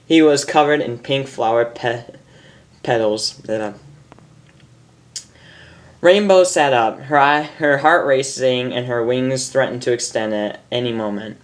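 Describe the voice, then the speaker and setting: A man's voice: mid-range voice, unhurried, long pauses. A man reading fan fiction aloud in an informal, dramatic narration, amused and stumbling over his words.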